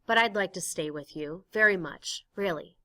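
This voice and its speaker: A woman's voice: fairly low pitch, normal speed, bright, forceful tone. Woman voicing a hurt, tender mother. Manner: tender, wounded.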